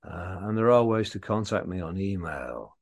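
A man in his fifties from the Liverpool area, a theatre maker guesting on a radio show, telling animated, self-mocking stories and laughing often. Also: low-pitched, normal speed, vocal fry. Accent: mild English accent. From England, Liverpool area. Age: 50s. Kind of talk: casual interview talk.

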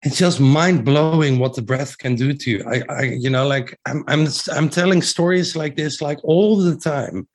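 A man's voice: on the high side, moderate pace, hardly any pauses. A middle-aged man with a strong Dutch accent, a guest talking about his breathing work in an eager, rambling way with many restarts. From Netherlands. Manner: enthusiastic, with frequent restarts and fillers. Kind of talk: casual interview talk.

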